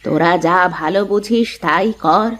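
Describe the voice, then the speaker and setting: A woman's voice: lowish voice, normal speed, close to an everyday voice. Woman playing elderly cartoon mother-in-law, weary, aggrieved, plaintive, reproachful. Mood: hurt, resigned. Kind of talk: Bengali cartoon dialogue acting.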